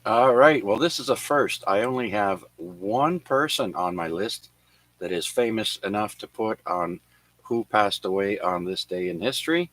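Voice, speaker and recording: A man hosting an amateur radio net, reading notes on who was born and who died on this day, with many filler pauses. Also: lowish voice, fairly bright tone, dry recording, low-quality recording. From Canada. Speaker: radio net control host. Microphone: radio transceiver microphone.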